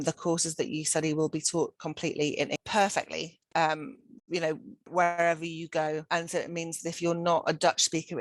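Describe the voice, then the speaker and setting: A woman with a notably low voice presents a study program over a video call, upbeat and persuasive and often restarting her phrases. The sound is dry and close, with no room echo. She is middle-aged and speaks as a centre director. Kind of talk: promotional info-session presentation.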